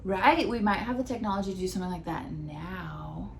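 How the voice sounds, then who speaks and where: Casual female co-host, thirties, American, expressive with playful exaggerated quotes, faint room noise behind. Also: fairly low pitch, fairly quick, vocal fry. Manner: animated, acting out quotes in exaggerated voices. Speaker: co-host of a TV discussion show. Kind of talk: casual chat. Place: living room, on a couch at home.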